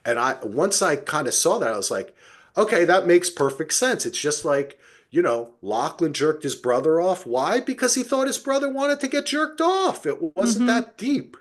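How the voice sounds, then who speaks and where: Animated male podcast host, swooping intonation. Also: high voice, moderate pace. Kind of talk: casual TV recap discussion.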